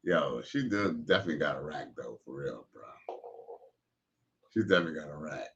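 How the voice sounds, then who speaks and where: Crude joking man with a bright voice. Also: rumbling low, lively intonation, fairly quick, slight background noise. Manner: crude, joking, loose slang. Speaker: live stream host. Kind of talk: casual reaction commentary. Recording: dull lo-fi stream audio.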